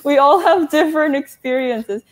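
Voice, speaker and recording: A woman's voice: high voice, normal speed, a loud crowd, poor audio. A young woman, a guest author on a video call, speaking earnestly and casually with lots of "like" and easy laughter. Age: young adult. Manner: earnest, laughs easily, many "like"s. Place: indoor room. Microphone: earphone mic on a video call.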